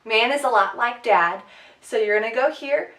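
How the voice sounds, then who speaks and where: A woman's voice: on the high side, normal speed, fairly bright tone. A woman with a cold, a bit short of breath, teaching a casual sign language lesson with lots of ums and light laughs. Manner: chatty, many "um"s, light laughs. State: sick, sniffly, short of breath. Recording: echoey room, far from mic.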